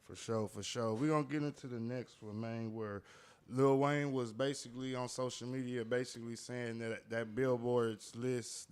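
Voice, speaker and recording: A man's voice: medium pitch, a little mellow, clean tone. A man hosting a casual podcast, bringing up topics and throwing in short agreements. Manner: brief affirmations, introduces topics. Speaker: podcast host. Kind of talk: casual chat.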